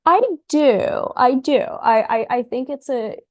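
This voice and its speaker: A woman's voice: high-pitched, takes it slowly, no room sound. A woman from Ukraine, a guest psychologist in a podcast interview, answering thoughtfully and often repeating words as she starts her sentences.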